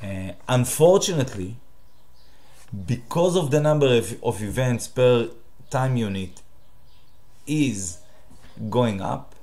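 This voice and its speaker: A man from Israel with a Hebrew accent, a native Hebrew speaker hosting his own channel and talking to the camera in a direct, halting way with many fillers. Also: mid-range voice, animated, mellow. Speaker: channel host. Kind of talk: solo talk to camera.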